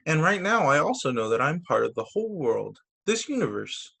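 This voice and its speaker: A man reading children's picture books aloud as a story time teacher, in an expressive, sing-song storytelling manner with short asides, over a video call. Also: fairly high pitch, normal speed, vocal fry, husky.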